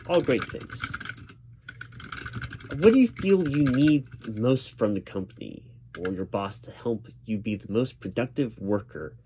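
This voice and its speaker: A man doing a spoken ASMR job interview roleplay as a reassuring, gently professional interviewer who speaks a little hesitantly. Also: medium pitch, lively intonation, unhurried. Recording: clear background noise of keyboard typing. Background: computer keyboard typing.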